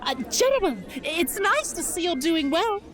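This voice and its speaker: A woman's voice: very high voice, sing-song, normal speed, a faint crowd. A woman playing a polite, chirpy alien visitor in a sci-fi audio drama. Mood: polite, cheery.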